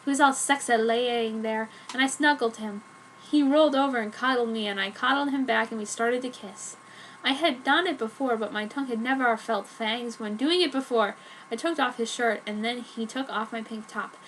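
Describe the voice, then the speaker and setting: A woman's voice: fairly high pitch, moderate pace, fairly bright tone, a little hiss or hum, rough recording. A woman giving a theatrical, mock-earnest dramatic reading of fan fiction as narrator.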